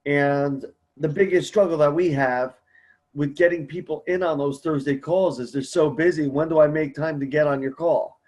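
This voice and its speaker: A man's voice: a little high, fairly even intonation, normal speed, darkish tone. Encouraging man hosting a support call. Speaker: support group host. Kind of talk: casual conference-call conversation.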